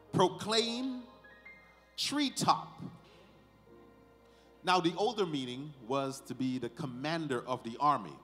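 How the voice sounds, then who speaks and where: A man preaching as a pastor at a ceremony, speaking in deliberate short phrases with emphatic stresses and rhetorical questions. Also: a little high. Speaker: pastor leading a baby dedication. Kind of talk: sermon, ceremonial address to family and congregation. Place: large church sanctuary, indoors. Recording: hall reverb, faint music behind.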